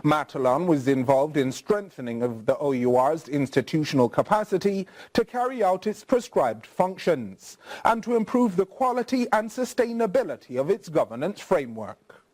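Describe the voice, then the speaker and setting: A Jamaican man anchors the news in a fairly high voice. His pitch moves widely as he delivers the scripted items in a formal news-reading style. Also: big pitch swings, moderate pace, darkish tone.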